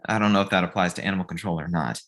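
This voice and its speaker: A man's voice: sits low, very fast, fairly bright tone. A man playing a concerned, cautious roommate in a script read. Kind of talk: screenplay table read.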